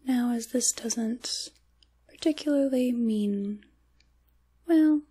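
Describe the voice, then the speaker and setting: A woman playing a kindly, caring witch in a soft, intimate ASMR tarot roleplay, largely whispered, with a gentle, reassuring manner. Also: on the high side, slow, husky. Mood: gently mystical.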